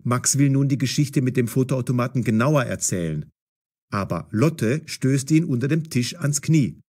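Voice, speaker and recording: A man narrating a scripted audio story for language learners in a deliberate, storytelling way. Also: mid-range voice, lively intonation, a little mellow.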